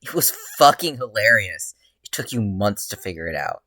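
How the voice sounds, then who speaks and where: Reader doing a gleeful, chaotic young-spirited male hero, low-voiced. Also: big pitch swings, sharp tone, dry recording, exaggerated voice. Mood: amused. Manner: exuberant, cackling, drawn-out crowing.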